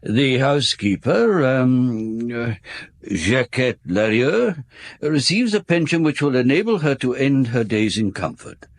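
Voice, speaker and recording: A husky, hesitant old solicitor. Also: male voice, medium pitch, sing-song, unhurried. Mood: discreet, loyal. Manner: hesitant, stammering, formal phrasing. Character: courteous, wistful, circumspect old male solicitor. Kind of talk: British radio drama acting.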